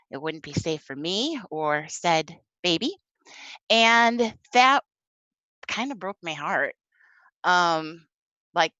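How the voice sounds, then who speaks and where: A woman's voice: on the low side, pushed and bright, dry recording, highs cut off. A woman around forty giving a prepared personal talk in a candid, wry, emphatic conversational way. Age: 30s to 40s. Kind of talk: prepared personal testimony read aloud, conversational.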